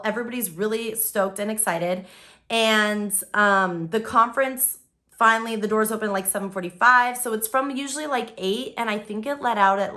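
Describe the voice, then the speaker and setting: Bright, chatty young woman vlogging. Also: fairly high pitch. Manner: chatty, lots of "like" and "um". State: voice recently lost, recovering. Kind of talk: casual vlog recap.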